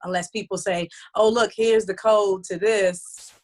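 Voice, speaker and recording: A woman's voice: mid-range voice, steady tone, a little slow, darkish tone. A woman recording artist who also runs a label, talking loosely and chattily in a casual interview, with many fillers and restarts.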